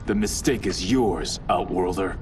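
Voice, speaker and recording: Resolute warrior, music behind. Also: male voice, deep voice, measured pace. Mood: stern. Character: principled warrior man, resolute younger brother in a ninja clan. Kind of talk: dramatic video game voice acting.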